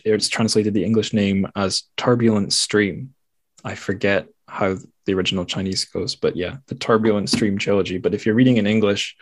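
A man's voice: fairly low pitch, no echo. A man hosting a podcast, chatting casually in a rambling, self-deprecating interview manner. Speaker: podcast host.

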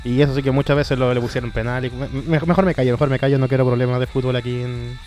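A man's voice: medium pitch, flat delivery, quick pace, a little mellow, soft background music. A Chilean man with a Chilean accent, co-hosting a casual podcast and joking around with crude slang. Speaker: podcast co-host. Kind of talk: casual chat.

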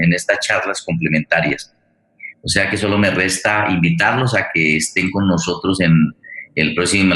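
Deep-voiced, halting Colombian man hosting. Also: a bit bright. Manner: halting, repeats and rephrases words. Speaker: host of an online radio theatre forum. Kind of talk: spontaneous closing remarks and thanks. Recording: roomy, echoey sound.

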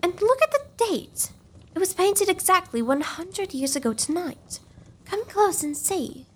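A woman plays an excitable young lady in a gothic comedy audio drama. Her voice is high and theatrical, full of spooky wonder. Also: measured pace, a little hiss or hum, full character voice. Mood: anxious curiosity.

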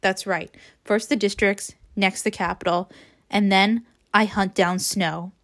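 A woman's voice: medium pitch, fairly even intonation, unhurried, natural voice. Storybook part: resolute young woman, emotionally drained and set on her course female reader. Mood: numb resolve. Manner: numb, determined. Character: numb, resolute young woman narrator-heroine.

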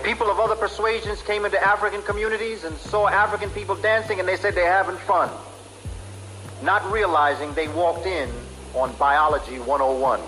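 A man's voice: high voice, steady tone, brisk, music in the background, low-quality recording. A man giving a public lecture as a teacher, speaking emphatically and spelling out acronyms letter by letter.

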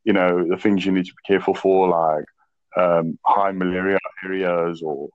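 A South African man in his late twenties talking casually in a measured way, with frequent "uh" and "um". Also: fairly even intonation. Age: 20s. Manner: measured, chatty, slips in "uh" and "um". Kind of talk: casual chat. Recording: muffled low-fidelity audio.

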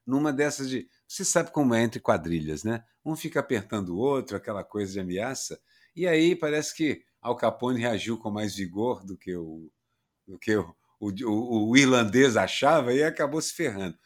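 A man's voice: medium pitch, lively intonation, moderate pace. A man from Minas Gerais co-hosting a casual podcast chat, reflective and fond of sayings. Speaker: podcast co-host.

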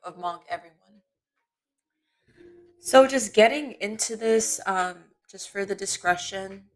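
A woman hosting her own podcast, talking off the cuff in a candid, rambling way, her voice sometimes smooth and sometimes raspy. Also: medium pitch, rough recording. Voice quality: voice shifts between smooth and raspy. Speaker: podcast host. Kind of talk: unscripted solo podcast monologue. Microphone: computer mic in web browser.

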